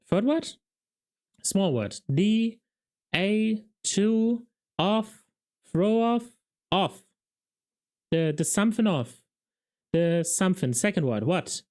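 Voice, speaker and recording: A man's voice: high voice, darkish tone, pitched above the performer's own voice, full character voice. A man voicing an earnest, naive young male city watchman, eagerly puzzling out guesses.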